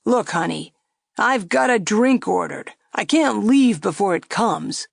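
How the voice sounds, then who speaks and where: A mild, stubborn drinking man, as a woman narrator reads him, spoken slowly and evenly with little change from the storyteller's own voice. He calmly brushes every plea aside. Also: medium pitch, vocal fry, no room sound, clean studio sound, heavily acted.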